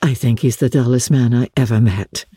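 A woman narrator plays a young society countess in a classic novel reading. She is frank and self-assured, with an unguarded, simple manner. The voice is husky and dark, recorded dry. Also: very deep voice, fairly even intonation, normal speed, no echo, voice pushed down. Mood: candid, easy.

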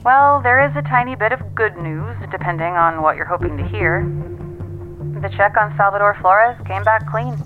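A woman's voice: medium pitch, lively intonation, normal speed. A woman acting in an audio drama as a sardonic, self-assured investigator relaying findings matter-of-factly over a phone line. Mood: businesslike. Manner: matter-of-fact, dryly wry. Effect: telephone filter. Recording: tinny phone line, music underneath.

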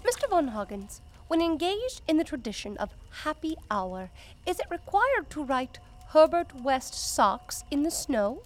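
A woman's voice: sits high, sing-song, measured pace. A woman playing a naive, literal-minded naturalist in an audio drama, speaking formally and precisely as she studies human customs. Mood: curious, analytical.

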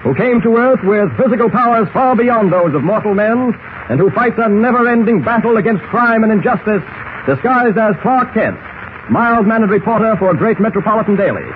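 A man's voice: high voice, lively intonation, a little fast, narrow, tinny audio. American guy narrating old radio serial, dramatic and swoopy, thin crackly recording.

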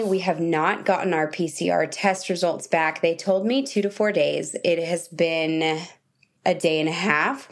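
A woman in her thirties with a sore, scratchy voice talks straight to her viewers. Her pitch sits a little low, and she keeps things chatty and easygoing, like she's recording a diary at home while she's sick. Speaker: vlogger. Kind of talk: casual video diary.

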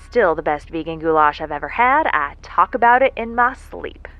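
A folksy, stranded moonbase worker talks in a rambling, self-deprecating way, as if in a letter home. The voice is fairly low and slightly dull in the recording, with faint music behind. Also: female voice, lively intonation, normal speed, a bit bright, dry recording. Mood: fond, teasing. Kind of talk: audio drama monologue, a spoken letter home.

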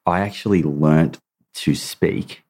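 Australian man, very deep voice, podcast host, open and friendly. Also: takes it slowly. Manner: warm, laughing, open. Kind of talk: conversational interview.

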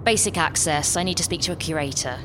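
A woman playing a brisk, scheming but tactful female spaceship captain in a live sci-fi comedy audio drama. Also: deep voice, natural voice. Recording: live stage recording with faint noise.

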